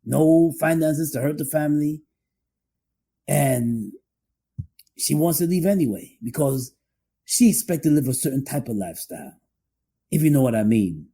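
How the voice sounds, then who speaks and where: A man co-hosting a podcast, discussing relationships conversationally in a halting way, often repeating his phrases. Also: fairly high pitch, animated, mellow, breathy edge. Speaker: podcast co-host.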